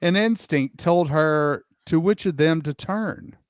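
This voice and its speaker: A man's voice: fairly high pitch, slow pace, clean tone, no echo, clean studio sound. A man narrating a comic dramatic storybook reading in a theatrical, irreverent, sweary manner with asides. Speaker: host and narrator.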